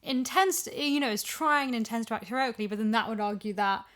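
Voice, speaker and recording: A woman's voice: on the high side, quick pace, fairly bright tone. A woman in a casual podcast chat, talking emphatically and repeating phrases for stress as a voice actor discussing an audio drama.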